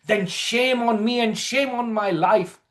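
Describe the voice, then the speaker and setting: A man's voice: very high voice, unhurried, a bit bright, pitched above the performer's own voice. A man voicing an emotional, loyal young friend with dramatic exclamations, in a storytelling reading. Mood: grief-stricken.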